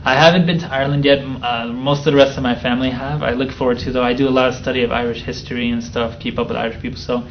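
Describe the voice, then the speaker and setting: A man in his thirties from the Chicago area, a guest telling his story in a soft-spoken, thoughtful way. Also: mid-range voice, level tone, rapid-fire, few pauses. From United States (near Chicago). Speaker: interview guest. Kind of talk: relaxed storytelling interview. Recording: slightly dull sound with faint noise.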